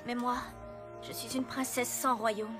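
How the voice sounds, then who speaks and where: An actress playing an earnest, self-doubting teenage fairy princess in a cartoon film. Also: female voice, a little high, level tone, measured pace, music in the background, close to an everyday voice. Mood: dejected. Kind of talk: French cartoon film dub.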